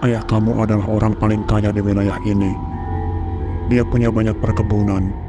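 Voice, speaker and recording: A man's voice: fairly low pitch, flat delivery, normal speed, natural voice. A man voicing a secretive old village uncle. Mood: evasive. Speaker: voice actor. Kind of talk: cartoon horror voice acting. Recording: clear music under voice.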